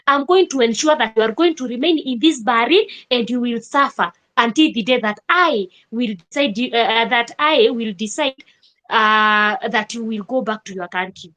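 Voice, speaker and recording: A young Kenyan woman telling a personal story in a vlog, with animated emphasis and repeated rhetorical questions. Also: fairly high pitch, moderate pace. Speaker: vlogger.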